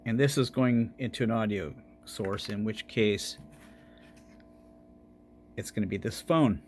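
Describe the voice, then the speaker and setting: A man's voice: lowish voice, measured pace, darkish tone, a little hiss or hum. A man narrating a casual hands-on electronics demonstration as its host.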